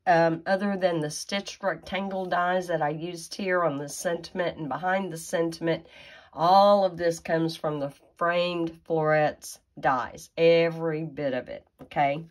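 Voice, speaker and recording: Low-voiced woman, stuffy-nosed, slow and chatty craft host. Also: steady tone. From Tennessee, USA. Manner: chatty and folksy, with frequent fillers and asides. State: stuffy nose from a sinus infection. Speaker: craft demonstrator. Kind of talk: casual card-making tutorial.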